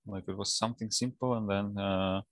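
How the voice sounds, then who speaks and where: A man in his thirties, a native Russian speaker with a Russian accent, talking casually and haltingly as an interview guest over a headset. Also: lowish voice, fairly even intonation, no room sound, clean studio sound. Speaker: guest, data science professional. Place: office, indoors, on a video call.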